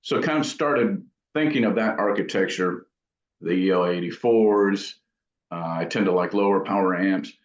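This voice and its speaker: A man's voice: low voice, moderate pace. A man being interviewed as an amp builder, talking casually in thoughtful, rambling explanations. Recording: dull, echoey room sound.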